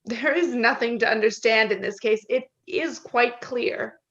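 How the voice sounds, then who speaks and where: Woman reading a high-voiced, dismissive doctor, dull audio. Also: a little slow, a bit bright. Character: dismissive, philosophizing male country doctor, unruffled. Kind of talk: cold play reading over video call. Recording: slightly dull video-call audio.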